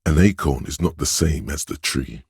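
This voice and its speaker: A man voicing a suave, insinuating inner voice of persuasion in a video game, narrating in a measured, knowing, gently coaxing way. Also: no echo, clean studio sound, heavily acted. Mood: wry, measured. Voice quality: very deep, husky. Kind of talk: scripted video game narration in second person.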